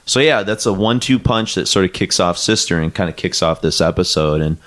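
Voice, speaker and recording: A man's voice: low-pitched, lively intonation, a little fast, a bit bright. A man co-hosting a podcast, chatting casually about music with lots of fillers and restarts. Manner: rambling, many fillers and restarts; reads quotes aloud. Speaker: podcast co-host.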